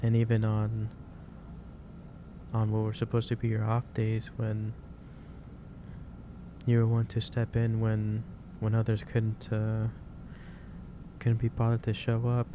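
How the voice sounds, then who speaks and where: A man playing a gentle, concerned office boss in a soft-spoken ASMR roleplay, talking in a hesitant, halting and careful way. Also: on the low side, monotone. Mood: earnest, kindly chiding. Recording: clearly noisy ambient background.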